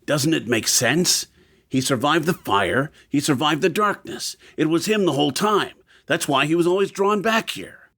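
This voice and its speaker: A man's voice: a little high, animated, normal speed, fairly bright tone, no echo, clean studio sound. A man playing an earnest, secretive character in an audio drama, speaking in an explanatory way. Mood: revelatory.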